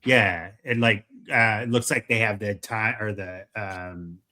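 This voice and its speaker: A man co-hosting a casual chat, easygoing and hesitant, filling pauses with ums and uhs. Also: on the low side, fairly even intonation, sharp tone. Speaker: co-host.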